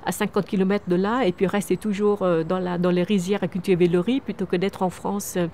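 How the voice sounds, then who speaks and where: A woman's voice: fairly low pitch, level tone, a little fast, warm tone, slight background noise. A woman in her fifties from Vietnam, answering interview questions outdoors in an earnest way, often restarting and repeating words. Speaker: founder of a microcredit charity. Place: outdoors on a wooden terrace among trees.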